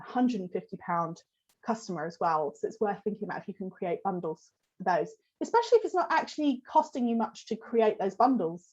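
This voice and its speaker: A woman, croaky from a cold, giving a conversational business webinar and answering questions from the chat. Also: medium pitch, darkish tone, dull audio. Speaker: host giving a business talk. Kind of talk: live webinar with Q&A.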